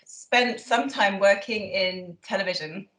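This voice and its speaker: Lady historian, lots of ums and uhs, thinks out loud, roomy echo. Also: medium pitch, measured pace. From Yorkshire, England. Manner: thoughtful, hesitant with many ums. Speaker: guest historian. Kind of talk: relaxed interview answers. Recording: echoey room.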